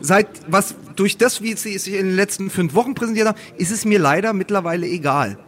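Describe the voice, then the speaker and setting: A man's voice: high voice, fairly quick. A man co-hosting a football podcast, talking casually and emphatically, often repeating phrases for stress.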